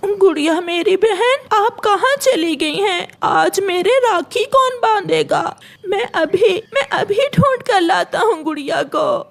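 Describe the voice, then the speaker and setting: A woman's voice: extremely high pitch, lively intonation, takes it slowly, creaky, exaggerated voice. A woman voicing an innocent young boy bird in a cartoon, a devoted brother who pleads plaintively. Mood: desperate searching.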